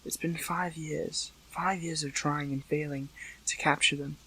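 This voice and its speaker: A young man playing a captured hero in an audio roleplay, earnest, conflicted and lovelorn, with stammering, pleading, breathy delivery. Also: a little high, steady tone, unhurried, sharp tone, exaggerated voice, low-quality recording. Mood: weary, anguished. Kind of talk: dramatic audio roleplay acting.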